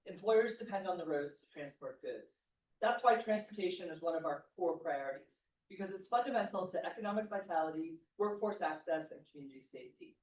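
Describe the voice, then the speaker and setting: A woman's voice: fairly low pitch, a little mellow. Distant woman in an echoey room. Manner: formal, reading a prepared statement. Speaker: public commenter. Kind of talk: prepared public comment. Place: public meeting room. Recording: echoey room, away from the mic.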